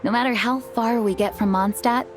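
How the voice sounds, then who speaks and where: An actress playing a calm, dutiful female knight leader who speaks in a measured, kind way. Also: a little high, lightly acted. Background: soft game music.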